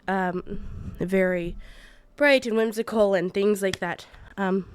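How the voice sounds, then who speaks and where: A woman's voice: medium pitch, clear, resonant tone, dry recording. Congested young woman, chatty tutorial. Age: 20s. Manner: chatty, rambling, self-correcting. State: congested from a cold. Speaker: gaming YouTuber. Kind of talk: casual screen-recorded tutorial.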